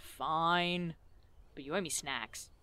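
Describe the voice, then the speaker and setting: A woman's voice: sits low, slow, sharp tone, clean tone, full character voice. A woman playing a reluctant, sarcastic young travel companion in an audio drama, with dry, teasing grumbles. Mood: grumbling, sarcastic. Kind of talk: audio drama acting, naturalistic banter.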